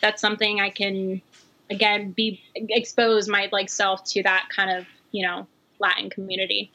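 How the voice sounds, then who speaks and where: Bright-voiced chatty woman. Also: medium pitch, flat delivery, moderate pace. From New York. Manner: chatty, fillers, laughs easily. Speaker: guest. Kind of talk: casual conversation.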